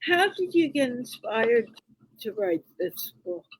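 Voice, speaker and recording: A woman hosting a casual interview, asking questions in a relaxed, playful way. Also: fairly high pitch, takes it slowly, slightly rough, poor audio. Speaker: host.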